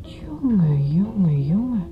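An actress playing a detective's down-to-earth female companion, speaking in a dry, colloquial, gently teasing radio-drama manner. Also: on the low side, big pitch swings, slow pace, soft background music. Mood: wry. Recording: dull, muffled old radio-play recording.

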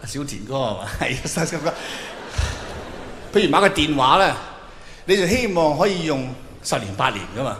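A man's voice: a little high, very animated, normal speed, a bit bright. A man with a Hong Kong Cantonese accent doing a nostalgic stand-up comedy monologue, colloquial, teasing the audience with rhetorical questions. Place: on stage in a large hall. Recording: echoey hall, faint noise, live show.

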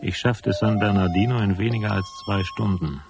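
Hard-boiled private eye, low and gravelly, terse and cynical, radio-drama style. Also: male voice, moderate pace, loud music behind the voice. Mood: dry narration. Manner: terse, dry, matter-of-fact. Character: hard-boiled, cynical male private detective, laconic and world-weary. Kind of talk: German radio drama acting and first-person narration.

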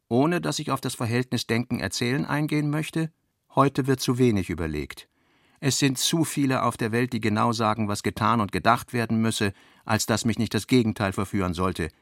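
A man reading an author's literary notes for a radio play in a deliberate, reflective way. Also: fairly low pitch, fairly bright tone, no echo, studio quality.

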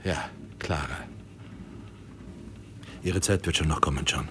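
Deep-voiced, patronising army colonel. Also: male voice, slight background noise, exaggerated voice. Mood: patronising, firm. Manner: measured, emphatic, condescending, deliberate pauses. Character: American army colonel and newspaper chief, smoothly patronising. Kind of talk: radio drama dialogue.

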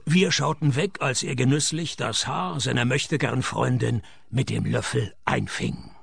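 An actor playing a laconic private detective in a radio play, narrating and wisecracking in a dry, sardonic, gravelly deadpan. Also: male voice, medium pitch, unhurried, no echo, exaggerated voice.

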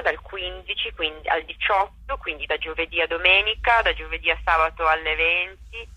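A woman theatre director and actress in a relaxed interview, reflective and searching for words, with frequent restarts. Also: on the low side, husky, no echo, poor audio. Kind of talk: conversational interview.

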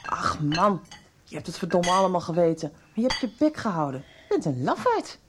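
An actress playing an angry, scornful daughter in a radio soap, bitter and accusing. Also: female voice, lowish voice, big pitch swings, a little fast, noisy background. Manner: scornful, cursing. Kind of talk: Dutch radio soap acting.

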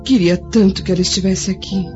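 An actress with a Brazilian accent playing a gentle, frail blind young noblewoman of ancient Rome in a radio drama, tender and earnest. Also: medium pitch, fairly even intonation, fairly quick, natural voice. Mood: eager, wistful. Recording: dull lo-fi audio with music.

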